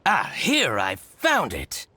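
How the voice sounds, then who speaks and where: A man's voice: mid-range voice, wildly swooping pitch, takes it slowly, bright, forceful tone, a little hiss or hum, full character voice. An actor playing a scheming, spiteful male merchant in a video game, theatrical and grumbling, with an obsequious streak. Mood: gleefully sly. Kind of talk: video game character acting.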